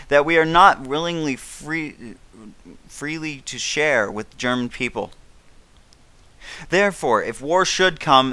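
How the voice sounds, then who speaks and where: A man's voice: mid-range voice, pushed and bright, smooth, no echo. A man playing a grave, defiant elder British statesman, giving a 1930s radio address with emphatic, oratorical delivery. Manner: declamatory, emphatic, dramatic stresses, occasional stumbles.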